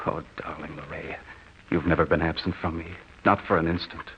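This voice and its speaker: An actor playing an earnest, lovestruck young soldier home from war, in theatrical old-time radio drama style. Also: male voice, very deep voice, fairly even intonation, highs cut off. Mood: tender. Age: young adult. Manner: theatrical, drawn-out, dramatic delivery. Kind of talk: old-time radio drama acting, narrated first person. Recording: noisy, band-limited old radio recording.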